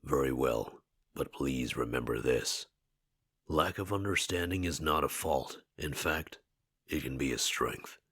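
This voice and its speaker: A man voicing a calm ancient spirit in a borrowed body for a video game, speaking in a formal, measured, philosophical way. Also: no room sound, clean studio sound, heavily acted. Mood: solemn, measured. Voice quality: very deep, husky. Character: calm ancient male spirit inhabiting a dead man's body.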